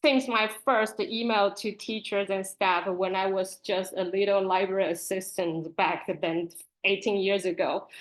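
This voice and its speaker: A woman in her forties from Taiwan, presenting as a teacher librarian and self-published author in a video-call webinar. She talks candidly and earnestly, with soft nervous laughs between phrases. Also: medium pitch, rough recording.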